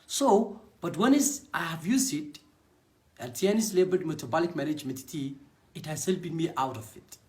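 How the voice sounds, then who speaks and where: A young man with a Somali accent, a native Somali speaker, giving an earnest personal testimonial about his network marketing business in short halting phrases. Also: a little high, expressive, a little mellow.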